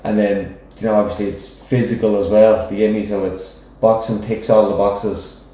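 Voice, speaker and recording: A man's voice: fairly low pitch, steady tone, normal speed, warm tone. A young man with a Dublin accent, a professional boxer chatting casually as a guest, rambling and often asking "do you know what I mean". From Ireland. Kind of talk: casual interview chat. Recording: very echoey room.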